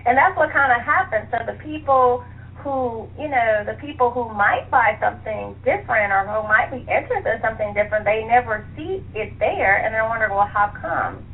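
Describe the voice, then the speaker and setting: A woman's voice: on the high side, expressive, fairly quick. A woman being interviewed on a radio show, chatting and explaining with examples as she thinks aloud. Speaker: interview guest, author and librarian. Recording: muffled phone-like line, faint noise.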